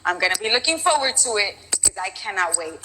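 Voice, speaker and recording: A woman from Brooklyn, a school teacher who hosts radio, talking in an excitable, gushing way in a casual live interview. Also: medium pitch, fast, noisy background. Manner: excitable, gushing, laughs often.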